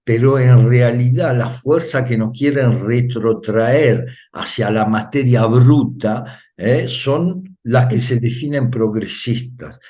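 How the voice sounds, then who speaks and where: A man's voice: medium pitch, takes it slowly, mellow. A man who grew up in Italy, a guest on a video call, giving emphatic political commentary with repeated phrases and frequent fillers. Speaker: interview guest.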